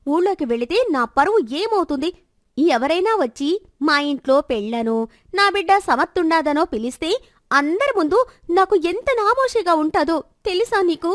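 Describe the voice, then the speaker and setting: A woman voicing a bitter, sharp-tongued village wife who complains and scolds her husband in a dramatized moral story. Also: very high voice, animated, moderate pace, no room sound, studio quality. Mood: scornful, angry. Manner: scornful, complaining, rhetorical questions.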